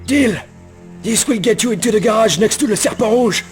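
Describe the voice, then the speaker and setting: A man's voice: high voice, a little fast, soft background music, natural voice. A man playing a surly, suspicious old man in a video game, irritable, dismissive and grudging. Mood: conspiratorial. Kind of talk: video game cutscene voice acting.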